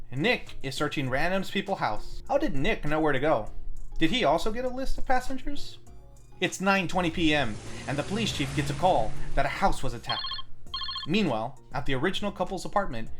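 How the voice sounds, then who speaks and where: A film review host reads a scripted recap in a very animated voice that moves up and down a lot. The narration is peppered with tongue-in-cheek asides. Music plays softly under the voice. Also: mid-range voice.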